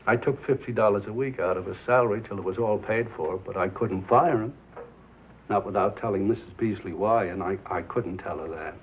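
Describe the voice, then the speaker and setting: Low-voiced, earnest small-town man, played by an actor, old film sound. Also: lightly acted. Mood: resigned. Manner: halting, formal, confessional. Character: mild, earnest small-town station owner, burdened by old shame. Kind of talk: 1950s film drama acting. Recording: muffled, hissy 1950s film soundtrack.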